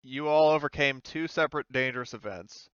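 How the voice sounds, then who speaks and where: Guy game mastering a tabletop rpg, smooth clear voice, swears casually, mic a bit muffled. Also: medium pitch, fairly quick, fairly bright tone, dry recording. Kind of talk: casual chat running a tabletop roleplaying game.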